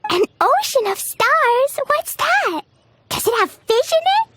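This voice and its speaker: A woman's voice: very high-pitched, sing-song, slow, very noisy, exaggerated voice. A woman voicing a cheerful, innocent and rash little girl in a video game, with childlike, simple phrasing. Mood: wide-eyed, curious. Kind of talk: scripted video game voice acting. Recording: studio voice over game music.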